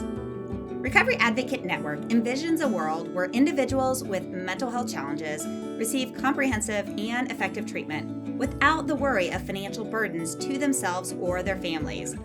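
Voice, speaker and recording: A woman reading a scripted podcast announcement as the show's announcer. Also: mid-range voice. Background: plucked guitar music.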